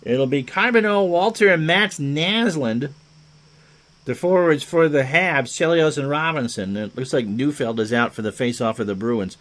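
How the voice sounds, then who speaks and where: A man's voice: on the high side, normal speed, a bit bright. A man doing chatty play-by-play hockey commentary, mixing clipped calls of the action with joking asides.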